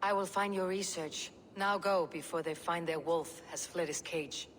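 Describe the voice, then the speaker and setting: A woman's voice: on the low side, moderate pace, lightly acted. An actress playing a bold Viking woman warrior, terse, cool and wry, in dramatic video game dialogue. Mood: wry, resolute. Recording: long reverb, faint background noise.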